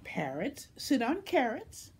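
A woman voicing a bossy, insistent know-it-all cat from a storybook, with emphatic, drawn-out delivery. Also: fairly high pitch, wildly swooping pitch, darkish tone, slightly rough, pitched above the performer's own voice, full character voice. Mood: insistent, explaining.

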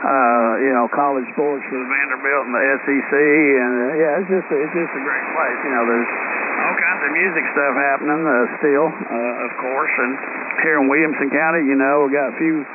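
A man's voice: mid-range voice, steady tone, normal speed. A man from the United States chatting over amateur radio in an easygoing, folksy way, through a radio effect. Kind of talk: casual radio chat. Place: received over shortwave radio. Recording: noisy, hissy narrow-band ham radio signal.

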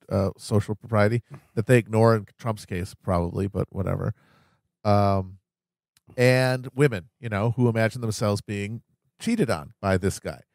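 A man's voice: on the low side, darkish tone, dry recording. A man co-hosting a podcast, chatting casually with a wry, profane edge. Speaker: podcast co-host. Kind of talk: casual comedic chat.

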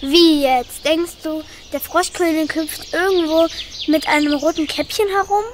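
A woman playing Janno, a curious, impulsive young rescuer in a children's radio play, asking quick questions. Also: high voice, steady tone, a little hiss or hum.

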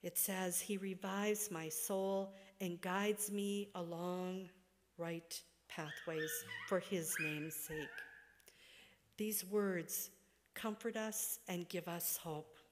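Slow, measured woman preaching in a reverberant church. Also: on the low side, fairly even intonation. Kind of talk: sermon. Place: church sanctuary, at a wooden pulpit. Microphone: gooseneck pulpit microphone. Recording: long reverberant church hall.

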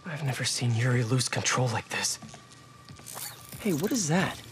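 A man's voice: medium pitch, animated, measured pace, faint music behind, natural voice. An actor playing a quippy, earnest young male superhero in a video game, talking in a wry, joking inner monologue over a game score. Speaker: game hero.

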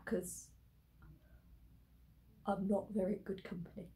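A woman acting a stage monologue as a despairing grown daughter explaining herself to her mother in halting, hesitant phrases. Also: medium pitch, level tone, vocal fry, dark tone, poor audio. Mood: resigned, sorrowful.

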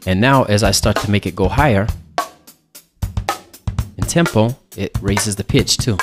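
A man with a fairly low voice gives a music production tutorial. He explains each step casually, like an instructor walking a student through the software, speaking close to the mic. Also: unhurried.

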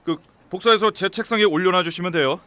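A man's voice: high-pitched, fast, pushed and bright, no echo. An actor playing a friendly, chatty and easily flustered young male office worker in a Korean audio drama. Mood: busy, flustered.

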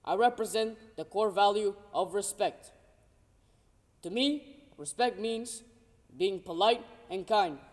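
Brief: teen male cadet from Portugal, high voice, loud and projecting, echoing hall. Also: slow pace. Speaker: military academy cadet. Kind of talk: formal memorized speech. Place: dark auditorium stage. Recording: echoey hall, distant mic.

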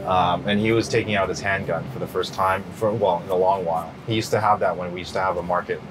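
A middle-aged man answering interview questions in a relaxed, rambling way, full of fillers and restarts. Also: deep voice, fast, noisy background. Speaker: interview guest. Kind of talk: casual interview.

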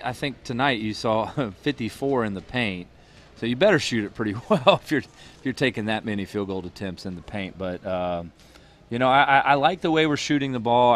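A middle-aged man, a head basketball coach, giving a postgame interview in a thoughtful, rambling way full of restarts and fillers, on a headset mic. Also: fairly low pitch. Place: small studio booth with a logo backdrop.

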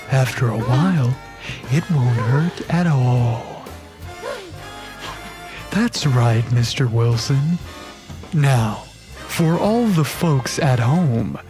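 A man playing a gleefully sinister radio show host in dark comedic audio theatre, theatrical and mock-soothing. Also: on the high side.

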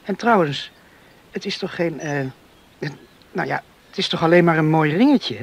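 High-voiced, headstrong young lover. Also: male voice, moderate pace, creaky, breathy edge. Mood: defiant. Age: young adult. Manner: impulsive, intense. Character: headstrong, passionate young farmer's son in love. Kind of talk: Dutch radio drama acting.

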